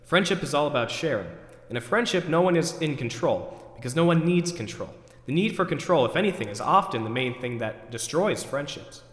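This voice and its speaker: A man's voice: a little high, lively intonation, brisk, fairly bright tone. Overwrought actor playing a neurotic man, echoing hall. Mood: reflective. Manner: ranting, then talking himself down. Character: overwrought, neurotic man agonizing over forgotten groceries, self-questioning. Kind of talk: theatrical internal monologue. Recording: echoey hall with long reverb.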